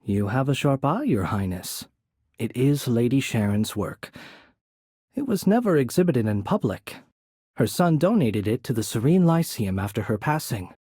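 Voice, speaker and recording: A man playing a courteous, composed young noble mage in a video game, soft-spoken and slightly breathy, with polite, measured, formal phrasing. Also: mid-range voice, big pitch swings, no room sound, studio quality. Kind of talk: video game character voice acting.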